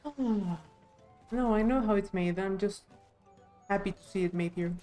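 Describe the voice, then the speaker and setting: A woman's voice: medium pitch, a little slow, dark tone, soft background music. A woman reading video game dialogue aloud expressively on a live stream.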